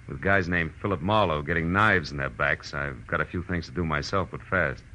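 An actor playing a tough, wry private detective in 1940s radio drama style, terse and dramatic. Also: male voice, extremely low pitch, moderate pace, bright, forceful tone, a little hiss or hum. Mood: brisk, wry. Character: tough, wry male private detective narrating his case. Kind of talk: 1940s radio drama acting, hard-boiled narration. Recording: muffled old radio recording with music.

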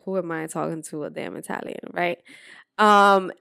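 A woman's voice: on the low side, steady tone, creaky, bright, forceful tone, clear, resonant tone, dry recording, studio quality. Frank, profane young woman on a podcast. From Dominican Republic. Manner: frank, profane storytelling with quick asides. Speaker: podcast co-host. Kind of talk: candid casual chat.

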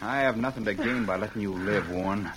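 An actor playing a wronged ex-convict cowboy in an old-time radio western, speaking with hard emphasis. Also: male voice, on the low side, brisk, crowd noise, lightly acted. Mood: bitter anger. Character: bitter, vengeful young cowboy, wronged ex-convict. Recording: noisy old radio recording.

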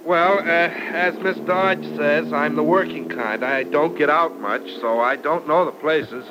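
A man's voice: a little high, a little slow, noisy background, natural voice. An actor playing a modest, hard-working young man who speaks hesitantly, in a 1940s radio drama, on an old radio recording.

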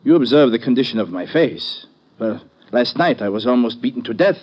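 A man's voice: medium pitch, lively intonation, a little fast, a little mellow, natural voice, poor audio. An actor playing a mild, puzzled male witness in an old radio drama, with formal, hesitant phrasing. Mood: uneasy, puzzled. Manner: formal, hesitant, precise wording.

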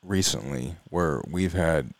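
Deep-voiced man, joking and frank. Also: dry recording. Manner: joking but frank, halting with restarts. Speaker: podcast co-host. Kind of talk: casual chat.

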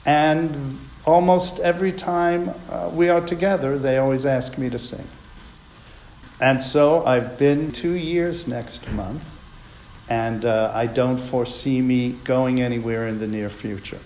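A man's voice: mid-range voice, steady tone, measured pace, darkish tone, echoey room, poor audio. A man earnestly telling a reflective personal story on a radio show.

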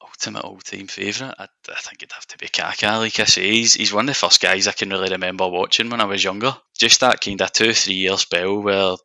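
A man's voice: sits low, fairly even intonation, fairly quick, vocal fry, hardly any pauses, bright, forceful tone, dry recording. A young man with a Scottish accent, speaking as a podcast guest and football fan in casual chat. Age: young adult.

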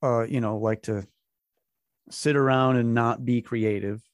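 A man hosting a podcast, raspy while getting over a cold, speaking in a relaxed, upbeat way. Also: medium pitch, unhurried, no room sound, studio quality. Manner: relaxed, upbeat, loose with fillers. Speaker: podcast host. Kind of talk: casual podcast interview.

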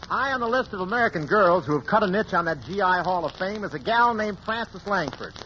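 A man hosts a 1940s radio variety program, rattling off introductions in breezy showman patter. His voice is bright and forceful and sits a little high for a man. The broadcast sound is dull and muffled, with a faint hiss underneath. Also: animated, brisk. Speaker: radio variety show host.

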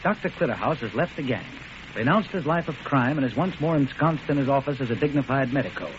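A man's voice: mid-range voice, lively intonation, fast. A man announcing and narrating a scripted drama as host in 1940s radio style. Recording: noisy, dull old radio broadcast.

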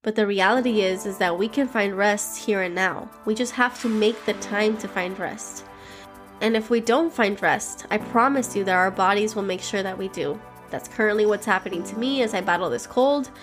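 A woman's voice: mid-range voice, moderate pace, a bit bright, soft background music. A woman from Miami, sounding stuffy from a cold, hosting a daily devotional podcast and leading listeners in prayer with an earnest, heartfelt manner. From Miami, Florida.

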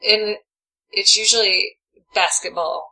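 Woman podcast co-host, bright tone, dry humor, laughs a lot. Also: medium pitch, slow pace, vocal fry, low-quality recording. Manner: wry, giggly, trailing off mid-sentence. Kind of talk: casual recap chat.

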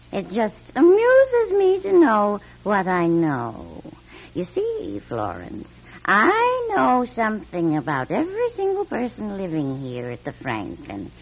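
Radio drama: smug, secret-hoarding older woman, slow and knowing, wildly swooping pitch, lo-fi sound. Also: creaky, breathy edge, exaggerated voice. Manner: sly, knowing, theatrical delivery. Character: nosy, smug older spinster who collects neighbours' secrets.

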